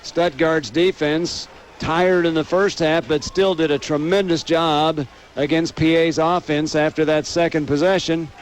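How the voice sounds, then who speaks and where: American man, fairly high voice, radio play-by-play announcer, live football commentary. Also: fairly even intonation, measured pace.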